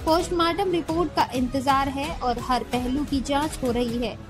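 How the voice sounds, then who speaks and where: A woman with a very high voice delivers scripted crime news narration over background music. Her delivery is dramatic and emphatic, in the manner of a news narrator. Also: normal speed.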